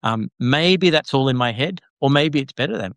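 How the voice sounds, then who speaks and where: A man's voice: mid-range voice, lively intonation, moderate pace, a bit bright, no room sound. A man hosting a casual podcast chat who speaks hesitantly, with lots of "uh"s and repeated words. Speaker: podcast host.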